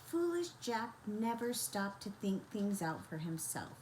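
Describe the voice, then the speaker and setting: An older woman storyteller reading a children's bedtime story in a gentle, expressive, grandmotherly manner. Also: medium pitch, measured pace, slight background noise.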